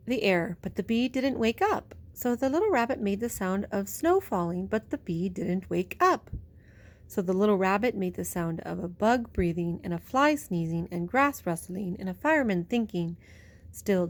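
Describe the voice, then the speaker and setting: A woman's voice: medium pitch, expressive, moderate pace. A woman with a mid-range voice reads a picture book aloud for young children. She tells it expressively, stretching out phrases in a bedtime-story style.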